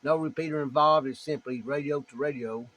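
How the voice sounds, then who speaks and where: A man's voice: fairly high pitch, steady tone, a little fast, poor audio. A man with an East Tennessee accent chatting casually as a ham radio operator, in a folksy, plain-spoken way. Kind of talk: casual chat and demonstration over ham radio.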